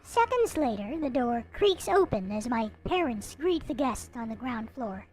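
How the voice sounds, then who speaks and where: A high, swooping voice from a woman acting a young visual novel narrator who has given up on life. The delivery is brooding, bitter and self-pitying, theatrical in its melancholy. Music is clearly present in the recording. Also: sing-song, measured pace, darkish tone, rough recording. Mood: resigned, sullen. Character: gloomy, bitter, hopeless young person going blind, self-pitying narrator. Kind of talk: dramatic reading of a visual novel.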